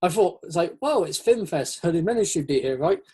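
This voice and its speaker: A man's voice: sits high, expressive, fairly quick, darkish tone, husky. A man in a casual, rambling, jokey chat, acting out little quotes as he goes. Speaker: host of a metal chat show.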